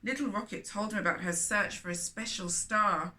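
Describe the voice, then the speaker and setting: A woman's voice: medium pitch, normal speed, sharp tone. A woman hosting a children's storytime, reading a picture book aloud expressively with chatty asides.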